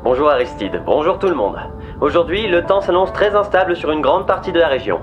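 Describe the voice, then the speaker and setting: A man playing a polite weather presenter, giving a measured, scripted forecast in a French comedy audio drama. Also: on the high side, lively intonation, lo-fi sound, over music, close to an everyday voice. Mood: upbeat, formal. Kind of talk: parody broadcast weather report, scripted.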